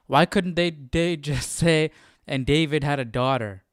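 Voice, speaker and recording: A man's voice: a little high, unhurried, clear, resonant tone, dry recording, clean studio sound. A man hosting a live Bible study, casually musing and thinking aloud in a puzzled way.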